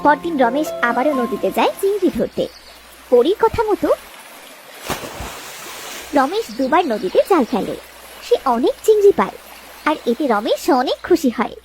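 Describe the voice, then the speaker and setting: A woman's voice: high voice, sing-song, normal speed, long pauses, a little mellow, a little hiss or hum. A woman narrator reading scripted storytelling narration for a children's cartoon.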